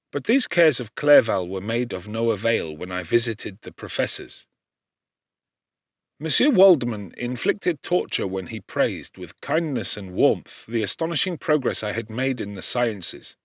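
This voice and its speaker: A man narrating an audiobook with an expressive, measured storytelling delivery, recorded close to a studio microphone. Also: mid-range voice, no room sound. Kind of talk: scripted literary narration.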